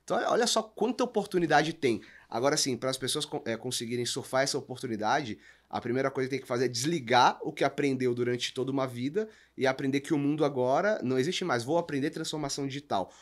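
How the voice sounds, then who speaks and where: A man's voice: mid-range voice, quick pace, hardly any pauses. A man with a Brazilian accent explaining business as a podcast guest, emphatic and didactic, often posing rhetorical questions. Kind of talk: conversational business explanation with examples.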